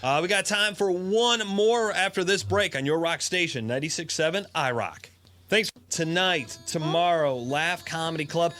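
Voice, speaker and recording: A male radio host talks brightly from the studio, plugging upcoming shows in an upbeat announcer's manner. His voice sits a bit high, and faint music plays beneath it. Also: normal speed. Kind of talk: radio chat and promos.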